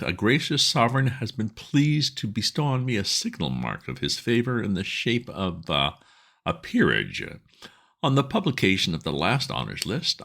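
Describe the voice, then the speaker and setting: A man voicing a pompous elderly English lord who speaks in stiff, formal, measured sentences. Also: on the low side, creaky, a bit bright, pitched above the performer's own voice, exaggerated voice. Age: older.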